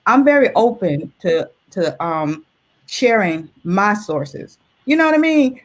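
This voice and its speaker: A woman's voice: mid-range voice, sing-song, slow pace. An older woman, over sixty, speaking as an interview guest in an earnest, conversational way, repeating phrases for emphasis. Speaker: illustrator and artist, interview guest. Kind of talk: casual interview chat.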